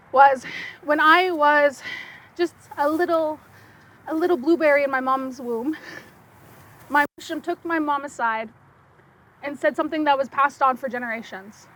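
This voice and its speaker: A young woman speaking English with a slight French accent, giving an excitable conference talk with quick bursts and many fillers. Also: high-pitched. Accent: French-tinged Canadian English. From Saskatchewan, Canada. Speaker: guest speaker.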